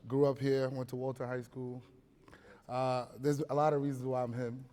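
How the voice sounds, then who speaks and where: A man's voice: medium pitch, little pitch movement, moderate pace, dark tone. A man from the Bronx, introducing himself on a panel in a matter-of-fact way. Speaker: panel guest, founder.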